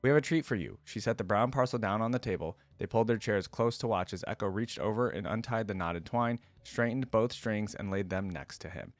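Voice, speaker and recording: Male reaction host, lowish voice, casual storytelling and fan commentary, dry recording. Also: fairly quick, few pauses, dry recording, faint music behind. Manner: casual, slangy asides.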